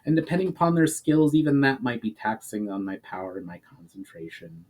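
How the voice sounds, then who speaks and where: A man's voice: fairly low pitch, sing-song, fairly quick, darkish tone. A man voicing an earnest mage in a storybook reading, explaining things in a careful, formal manner. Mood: careful, serious. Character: earnest male mage explaining magical limits.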